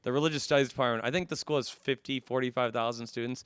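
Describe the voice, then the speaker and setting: A man with a bright, crisp voice in a close, dry recording. He talks casually like a comedian guest on a podcast, tossing out jokes and laughing readily. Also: mid-range voice, fairly even intonation, dry recording. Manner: joking, quick to laugh.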